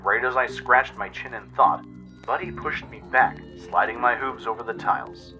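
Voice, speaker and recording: A man's voice: mid-range voice, moderate pace, muffled recording. A man reading scripted first-person story narration for a radio play. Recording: music bed under produced voice-over. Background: music.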